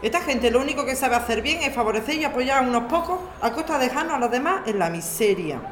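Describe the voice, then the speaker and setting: A woman's voice: sits high, lively intonation, fast talker, echoey room. A woman playing a busy, wry lawyer and housing activist in conversational radio drama dialogue. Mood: wry, indignant.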